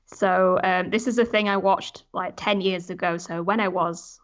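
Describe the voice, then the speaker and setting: A woman's voice: fairly low pitch, steady tone, normal speed. Woman chatting informally, slightly dull audio. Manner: informal, opinionated. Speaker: podcast co-host. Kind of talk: casual chat.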